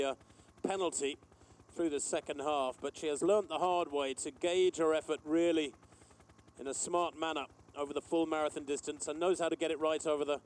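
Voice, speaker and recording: Measured man commentating a race. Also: on the high side, darkish tone, a little hiss or hum. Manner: knowledgeable, measured, with frequent uh pauses. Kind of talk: live sports commentary.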